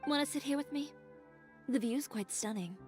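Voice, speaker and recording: An actress playing a proud, headstrong young female tailor who speaks in a calm, measured, reflective way in a video game. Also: high-pitched, natural voice. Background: game music.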